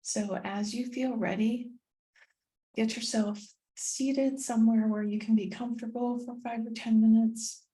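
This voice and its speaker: Woman, meditation guide, slow delivery, warm relaxed tone, gentle and soothing, short phrases with long pauses. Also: fairly high pitch, low-quality recording. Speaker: meditation guide and tapping coach.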